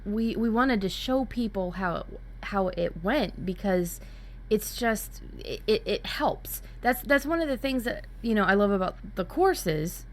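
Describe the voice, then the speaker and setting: A woman's voice: mid-range voice, expressive, unhurried. A woman hosting a podcast, chatting casually and often rephrasing herself as she explains. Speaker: podcast host.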